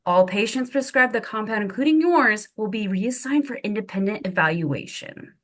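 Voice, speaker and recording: A woman's voice: medium pitch, lively intonation, normal speed, a bit bright, close to an everyday voice. A woman playing a cold, confrontational official in a drama table read, with formal, pointed delivery. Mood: formal, firm.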